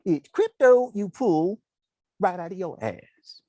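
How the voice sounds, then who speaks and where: A man's voice: high-pitched, expressive, slow, dark tone, no room sound, studio quality. An actor playing a slick financial schemer at a table read, with sly, drawling salesman patter. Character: slick smooth-talking male financial schemer.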